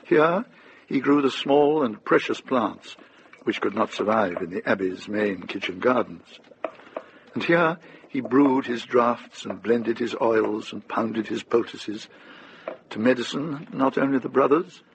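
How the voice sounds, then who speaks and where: A man narrating a radio drama in a deliberate, literary storytelling manner. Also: mid-range voice, noisy background.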